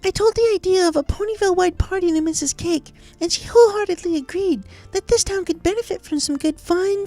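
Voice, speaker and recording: A haunted, desperately upbeat girl pony from a cartoon fan story. The voice is extremely high and earnest, narrating a diary with faint music underneath. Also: warm tone. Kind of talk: dramatized diary reading of fan fiction.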